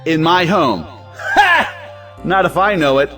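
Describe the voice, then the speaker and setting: A hot-tempered patriarchal brother , acted by a man, lays down the law in a stage drama. His fairly high voice swings widely in pitch as he blusters and commands, with faint music behind him. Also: huge pitch swings, slow pace, pushed and bright, full character voice. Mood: outraged. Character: domineering, hot-tempered moralizing older brother. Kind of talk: audio play acting of an old stage drama.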